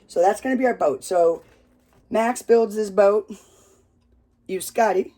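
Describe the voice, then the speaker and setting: An American storyteller telling a family tale with props in a loud, rambling, casual way, swearing freely. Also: mid-range voice, a little slow. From United States.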